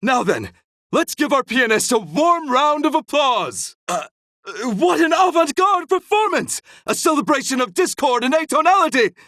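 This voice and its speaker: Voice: high-pitched, big pitch swings, normal speed, fairly bright tone, dry recording, clean studio sound, heavily acted. An actor playing an effusive, theatrical concert host in a video game, with florid, grandiose phrasing. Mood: theatrical excitement. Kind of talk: video game character acting.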